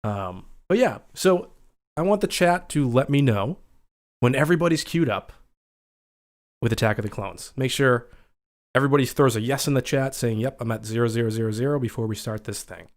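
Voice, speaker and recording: A man's voice: mid-range voice, expressive, a little fast. A young adult man with a scratchy voice, hosting a casual livestream and chatting with viewers as he reads out their comments. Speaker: livestream host.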